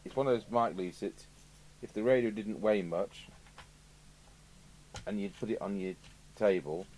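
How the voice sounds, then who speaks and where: A man's voice: fairly low pitch, measured pace, a little mellow. A man with an English accent giving a casual, rambling workbench commentary as he thinks aloud, like a radio repair technician. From United Kingdom. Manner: rambling, musing aloud to himself. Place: indoor electronics repair workbench. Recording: clear background noise with electrical hum.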